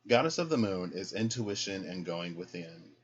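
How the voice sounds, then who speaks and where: Deep-voiced man giving a tarot reading. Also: moderate pace. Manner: relaxed, explaining, frequent "right?" asides. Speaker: tarot card reader. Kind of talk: casual spiritual card reading. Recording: dull lo-fi sound.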